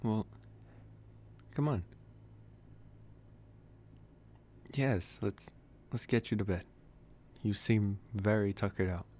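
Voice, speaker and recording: A man acting as a young male vampire with a low voice, made for intimate ASMR roleplay. He is teasing and possessive but gentle, chatting in a soft, casual way with sighs and chuckles. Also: unhurried, a little hiss or hum, close to an everyday voice. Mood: gently coaxing.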